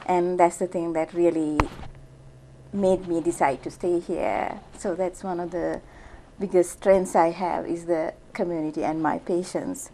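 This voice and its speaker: A woman's voice: on the low side, steady tone, a little slow, warm tone, a little hiss or hum, low-quality recording. A middle-aged woman from Sri Lanka, a physician, talking through an interview in a casual way with many fillers and restarts. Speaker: physician guest.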